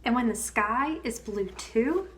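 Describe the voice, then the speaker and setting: A woman reading a children's picture book aloud in a measured, expressive storytelling manner with pauses. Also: on the high side. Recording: clear background ambient noise.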